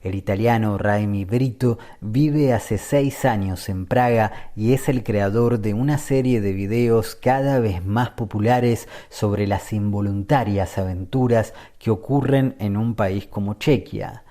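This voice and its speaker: Male podcast host, deep warm read, calm scripted narration. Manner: measured, read-aloud delivery.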